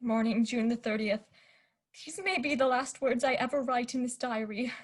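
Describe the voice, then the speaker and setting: A woman's voice: a little high, moderate pace, lightly acted. Woman voicing a frightened young man writing a diary. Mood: fearful. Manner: earnest diary narration, rising to panic. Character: frightened young male traveler keeping a diary. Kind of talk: student radio play acting.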